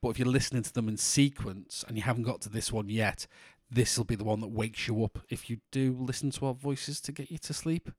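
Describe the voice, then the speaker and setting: A man from in England co-hosting a podcast in casual chat, with a monotone voice and a rambling way of talking full of restarts. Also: mid-range voice, brisk, few pauses, no echo, studio quality. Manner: rambling, many restarts and fillers. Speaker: podcast co-host.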